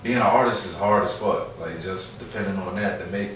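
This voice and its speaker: A man's voice: sits low, a little fast, fairly bright tone. An American man chatting casually as an interview guest, in slangy speech with frequent swearing. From Chicago, United States. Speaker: interview guest, rapper and producer. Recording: echoey, distant mic, faint noise.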